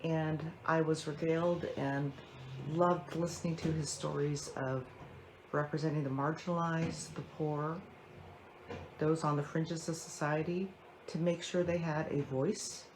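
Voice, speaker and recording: A woman's voice: low-pitched, level tone, a little slow, noisy background. A woman who co-founded a scholarship, presenting the award with enthusiastic praise.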